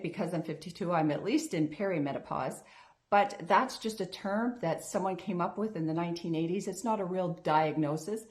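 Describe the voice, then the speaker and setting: Earnest woman in her fifties, slightly low voice. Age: 50s. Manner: earnest, chatty, with restarts and repeated phrases. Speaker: health coach, vlog host. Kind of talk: casual talking-to-camera vlog.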